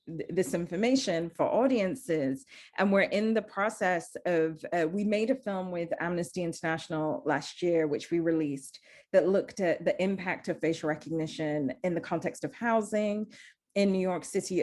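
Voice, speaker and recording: A woman's voice: on the low side, a little mellow. A woman from Zambia, speaking earnestly as a panelist and often restarting her sentences. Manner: earnest, searching, many restarts. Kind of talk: panel discussion.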